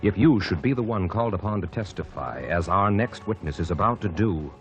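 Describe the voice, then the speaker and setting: A man's voice: deep voice, expressive, brisk, soft background music. Solemn 1940s radio announcer, man. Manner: solemn and emphatic, rising for the ad. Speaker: announcer and narrator. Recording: 1949 radio recording.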